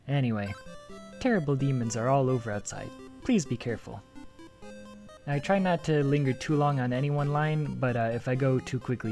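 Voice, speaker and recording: A man with an ordinary mid-range voice presents a game video, reading translated text aloud in a steady voice-over. He often breaks off in a jokey, eager tone to comment, and soft game music plays behind him. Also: mid-range voice. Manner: lively, jokey, breaks off to comment with sudden excitement.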